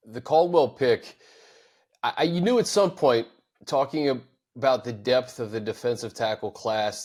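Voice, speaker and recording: A man with a mid-pitched voice hosts a football podcast. He speaks conversationally with halting restarts while setting up questions for his co-host. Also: darkish tone. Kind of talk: casual football podcast chat.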